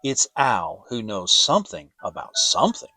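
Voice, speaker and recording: A man's voice: lowish voice, huge pitch swings, moderate pace, pushed and bright, slightly rough, exaggerated voice, poor audio. A man voicing a kindly, earnest bear in a children's storybook, simple and helpful, speaking with emphasis. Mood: musing. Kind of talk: dramatic children's audiobook reading.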